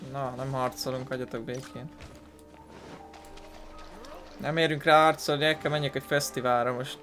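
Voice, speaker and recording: A man's voice: mid-range voice, fairly even intonation, a little fast, fairly bright tone. A man giving casual let's play commentary as he plays a video game. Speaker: gaming video maker. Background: game music.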